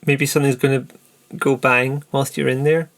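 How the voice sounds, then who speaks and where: A man's voice: mid-range voice, flat delivery, moderate pace, fairly bright tone. A man running a tabletop roleplaying game, mixing narration with casual, slightly hesitant table talk. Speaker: game master.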